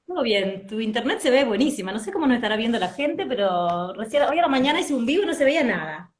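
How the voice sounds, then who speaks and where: A woman with an Argentine accent hosts a casual live stream, greeting viewers one by one in a lively way. Her voice sits at a middle pitch, and the room around her gives a noticeable echo. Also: fast. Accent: Argentine Spanish. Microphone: video call. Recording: roomy echo.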